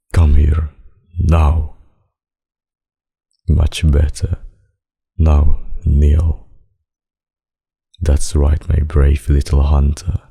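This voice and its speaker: A man playing a centuries-old, possessive and sadistic vampire in an intimate ASMR roleplay, speaking in a husky, silky, dominant and condescending way. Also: extremely low pitch, warm tone, heavily acted. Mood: commanding.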